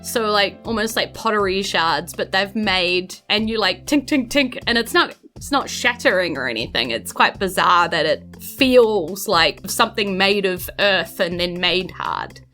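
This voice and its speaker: A woman's voice: mid-range voice, animated, a little slow, hardly any pauses, pushed and bright, faint music behind. A woman with a New Zealand accent, chatting and narrating as the game master and host of a podcast.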